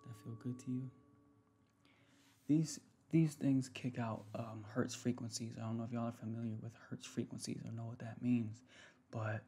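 A man's voice: medium pitch, vocal fry, mellow, breathy edge. A young man talking casually to viewers in an ASMR style, with a soothing, affectionate, slangy manner. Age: young adult. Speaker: ASMR video creator. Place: small room at home, indoors. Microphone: desk mic close in front.

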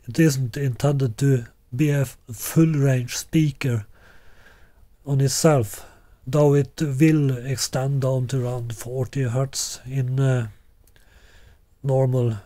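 A man in his sixties presenting a product in an unscripted way, halting and deliberate with long hesitations. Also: mid-range voice, mellow, dry recording. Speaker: loudspeaker designer presenting a product. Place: small living room, indoors.

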